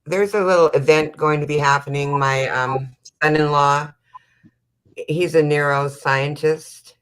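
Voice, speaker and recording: A woman's voice: sits low, steady tone, measured pace, clean tone, rough recording. Matter-of-fact woman in her sixties. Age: 60s. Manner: plain, matter-of-fact, chatty. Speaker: panel guest. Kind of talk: casual online panel chat.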